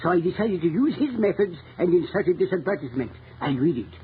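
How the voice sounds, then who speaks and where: An actor playing a determined, obsessive chief of police in an emphatic, theatrical 1930s radio melodrama style. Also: male voice, sits high, sing-song, a little fast, phone-quality sound. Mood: hushed, commanding. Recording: scratchy old radio recording, thin and noisy.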